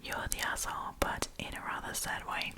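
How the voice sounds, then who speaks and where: Woman, breathy unvoiced whisper, ASMR storytime host, chatty, informal, rambling commentary. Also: moderate pace.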